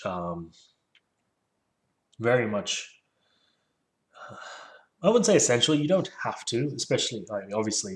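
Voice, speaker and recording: A man reading a book aloud and critiquing it casually, with sardonic, rambling asides. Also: fairly low pitch. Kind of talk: casual solo commentary with read-aloud passages.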